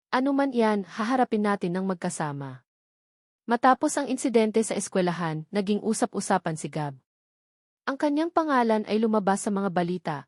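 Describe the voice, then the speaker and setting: A woman's voice: medium pitch, darkish tone, no room sound, studio quality. A woman reading a scripted romantic drama as a storyteller, in narration style.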